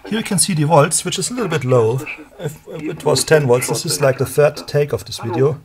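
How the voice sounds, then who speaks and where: A man's voice: a little high, fairly quick, crowd noise. A man giving a hands-on demonstration as a hobbyist presenter. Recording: clear background noise, handheld camera mic.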